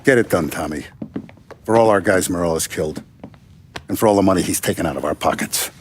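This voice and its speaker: A man's voice: sits low, brisk, background noise, natural voice. Man playing a commanding mafia boss. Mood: quiet, grave. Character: authoritative mafia boss, demanding and vengeful. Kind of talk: cinematic video game acting.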